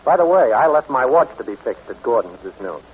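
Wry, good-hearted rogue hero, husky and animated, 1940s radio drama with muffled sound. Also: male voice, medium pitch, brisk. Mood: wry, easygoing. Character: suave, wry adventurer hero, good-hearted former thief. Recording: dull 1940s radio recording.

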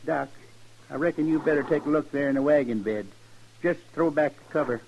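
An American actor playing a mild, folksy homesteader in a radio western, speaking in a rural, matter-of-fact, innocent-sounding way. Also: male voice, on the high side, fairly quick, vocal fry, noisy background. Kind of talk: 1950s radio western acting. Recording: dull old radio recording.

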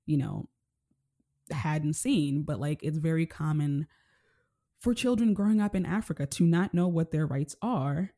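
A woman from Kenya hosting a casual interview, gentle and rambling, full of "like" and "you know". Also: low voice, expressive, a little slow. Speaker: podcast host.

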